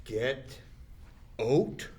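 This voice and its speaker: An actor at a table read playing a childlike, easily confused office worker with halting, puzzled speech. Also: male voice, medium pitch, animated. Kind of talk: live comedy script table read. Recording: faint noise, live room table read.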